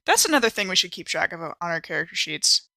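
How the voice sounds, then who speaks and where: A woman's voice: lowish voice, very animated, quick pace, sharp tone. A woman playing in a tabletop game, trading casual, joking banter with the table.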